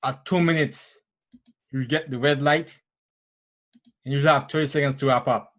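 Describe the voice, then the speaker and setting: A man's voice: medium pitch, steady tone, moderate pace, a bit bright. A man serving as meeting timer, reading out the timing rules in an orderly, matter-of-fact way over a video call.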